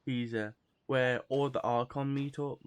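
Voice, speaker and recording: Male game streamer: average pitch, slow delivery, offhand, profane, halting commentary. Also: steady tone, clean tone. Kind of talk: casual, profane play-along commentary.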